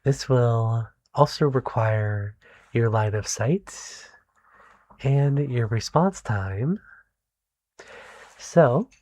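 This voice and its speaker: A soft-spoken performer playing a gentle, kindly animal doctor in an ASMR roleplay, speaking soothingly and encouragingly with deliberate pauses. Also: medium pitch, no room sound.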